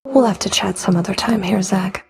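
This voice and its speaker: An actress playing a stern, sardonic underworld Fury overseer in a video game, curt and commanding, with a gravelly, creaky voice. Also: female voice, mid-range voice, normal speed, natural voice. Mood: curt, annoyed. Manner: curt, dry, commanding, grudging.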